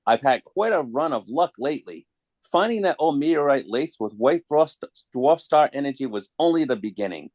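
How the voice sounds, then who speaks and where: A man's voice: mid-range voice, expressive, normal speed, darkish tone, dry recording, lightly acted. A man voicing a smug, weaselly toymaker villain with a pompous, florid way of talking in a comic book reading. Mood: gloating.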